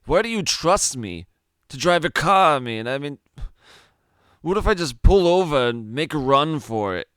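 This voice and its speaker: A man's voice: fairly high pitch, expressive, normal speed, fairly bright tone, clear, resonant tone, no room sound, studio quality, pitched above the performer's own voice. A man voicing a meek, cowardly, nervous man who pleads and stammers in fear in a story reading. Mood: nervous panic. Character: meek, cowardly, panicky man begging for his life.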